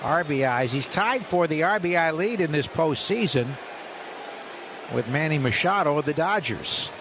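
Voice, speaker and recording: A man doing radio play-by-play of a baseball game, rising sharply in pitch when calling live action. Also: expressive, normal speed. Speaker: play-by-play announcer. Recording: radio broadcast with loud ballpark noise.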